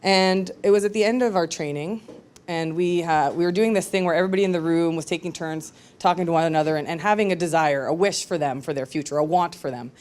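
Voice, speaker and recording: Brief: woman storyteller on stage, slightly low voice, candid and reflective, halting now and then. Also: a little mellow. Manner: candid, reflective, with frequent hesitations. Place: live storytelling event with audience.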